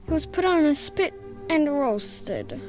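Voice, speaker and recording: A woman's voice: high-pitched, lively intonation, takes it slowly, darkish tone, faint music behind. A woman voicing a sardonic captive child in a fairy-tale game, wry and matter-of-fact. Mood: grimly matter-of-fact.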